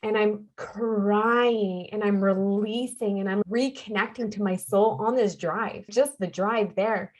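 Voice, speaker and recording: A woman speaks as a podcast guest, recounting her life in a heartfelt, earnest way. She leans on repeated phrases to drive her points home, in a voice of middling pitch. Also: steady tone, slow pace, hardly any pauses, a little mellow, poor audio. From Canada. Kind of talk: personal storytelling in a conversation.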